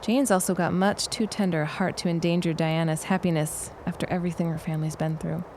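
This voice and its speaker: A dryly witty, sociable woman, played by an actress, speaks in a lowish voice. It is period drama dialogue with faint noise in the background. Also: steady tone, fast talker, darkish tone, close to an everyday voice. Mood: tactful. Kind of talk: period audio drama acting.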